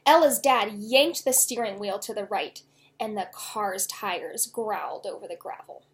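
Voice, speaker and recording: A woman author reading her own children's novel aloud in an expressive storytelling style. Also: mid-range voice, a little slow.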